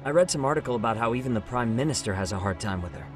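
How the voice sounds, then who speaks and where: A man's voice: mid-range voice, expressive, rapid-fire, music in the background, natural voice. An actor playing a casual, blunt young man, an irreverent sidekick in a video game drama.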